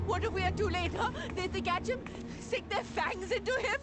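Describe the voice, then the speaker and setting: A woman's voice: extremely high pitch, normal speed. An actress playing a desperate, fearful older grandmother in a video game cutscene, pleading in a distraught, dramatic way. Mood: frantic.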